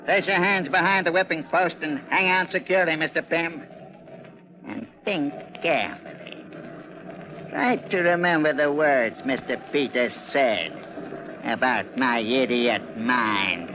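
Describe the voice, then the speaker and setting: An actor playing a stern, vengeful ship's captain in a 1940s radio drama, deliberate and coldly commanding. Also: male voice, a little high, close to an everyday voice. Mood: cold menace. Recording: dull, noisy 1940s radio recording.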